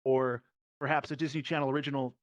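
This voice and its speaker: A man speaking casually as a performer on a panel, informal and somewhat halting. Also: mid-range voice, flat delivery, dry recording. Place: virtual reality event, voice over personal microphone. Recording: dull, lo-fi dry sound.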